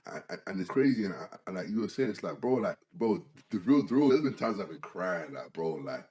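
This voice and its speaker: A man, co-hosting a casual podcast chat, animated, often saying "bro" and "like" and restarting his sentences. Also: deep voice, brisk. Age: 20s. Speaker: podcast co-host.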